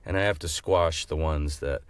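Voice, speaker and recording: A man co-hosting a podcast with casual, joking banter and an animated way of talking. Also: extremely low pitch, normal speed, clean tone, dry recording. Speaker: podcast co-host.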